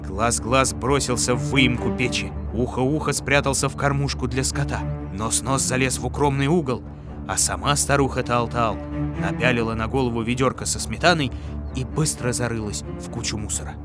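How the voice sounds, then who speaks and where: A man's voice: mid-range voice, normal speed. A man narrating a folk tale as a storyteller, with music behind his voice.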